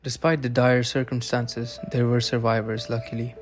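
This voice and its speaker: A male documentary narrator with a flat, steady delivery and a mid-range voice. He reads from a script, with faint music running underneath. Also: mid-range voice, moderate pace.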